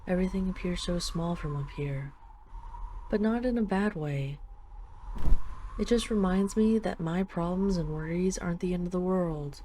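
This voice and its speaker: Woman playing a mellow, tender dragon queen. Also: lowish voice, moderate pace, a little hiss or hum, close to an everyday voice. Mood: wistful. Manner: affectionate, gently teasing, wistful. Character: dragon queen, tender, teasing, possessive lover. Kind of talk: intimate roleplay audio drama, slow and soft.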